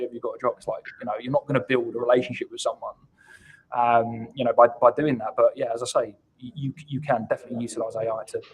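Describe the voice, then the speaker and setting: Fast-talking husky man explaining business ideas. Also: mid-range voice, poor audio. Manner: emphatic, repeats words as he builds points. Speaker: guest account executive at a software company. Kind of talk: business interview, conversational explaining. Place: online live video call.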